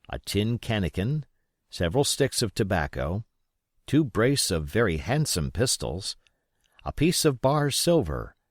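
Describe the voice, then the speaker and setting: A man narrates an adventure story as an audiobook reader. His voice sits on the low side and moves through a wide, animated range. The sound is close and dry, with an expressive scripted delivery. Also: no room sound.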